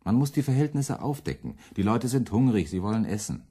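An actor in a German radio play voicing a fiery radical preacher who champions peasants with biting, accusatory rhetoric and scorn. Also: male voice, lowish voice, big pitch swings, a little fast, warm tone, breathy edge. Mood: urgent, defiant.

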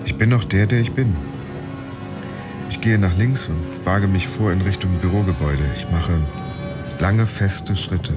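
A man acting an anxious, proud pretend office worker, narrating his thoughts tensely and deliberately in a radio play. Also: low-pitched, loud music behind the voice. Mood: guarded, resolute. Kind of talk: interior monologue in a radio play.

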